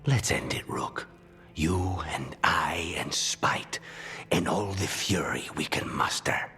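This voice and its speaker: An actor playing a brooding, demon-possessed assassin in a video game, grave and measured, his phrases sometimes falling hushed. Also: extremely low pitch, slightly rough, soft background music, full character voice. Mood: grim resolve. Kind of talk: video game cutscene acting.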